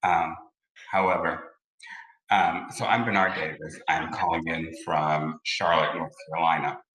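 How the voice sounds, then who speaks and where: A man's voice: deep voice, steady tone, unhurried, fairly bright tone, roomy sound, poor audio. A man who founded a community health center, introducing himself on a video call as a panelist in an easygoing, joking way.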